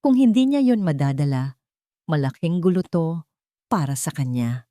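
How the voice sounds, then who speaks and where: A woman's voice: low-pitched, animated, normal speed, dark tone, dry recording, clean studio sound. A woman voicing a scheming young rival in an audio love story, sugary and condescending, sliding between English and Tagalog. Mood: coaxing, sly. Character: scheming, syrupy-sweet young rival woman, venomous underneath.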